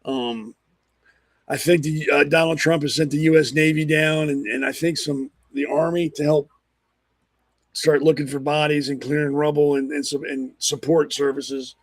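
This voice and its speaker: A man hosting a political talk show, blunt and rambling with lots of ums, hoarse from a cold. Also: fairly high pitch, steady tone, normal speed, dry recording. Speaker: podcast host. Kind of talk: opinionated political commentary.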